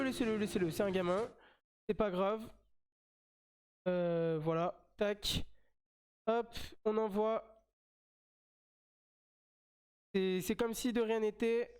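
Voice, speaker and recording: A man's voice: sits high, darkish tone, clean tone. A man with a French accent and a slight cold, commentating his own mobile game live as a streamer, chatty and repeating himself as he plays.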